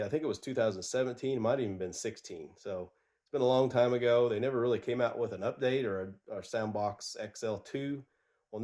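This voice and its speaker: A man's voice: mid-range voice, darkish tone. A man doing a casual product review, congested and nasal from a cold, talking in a loose, rambling way with frequent restarts.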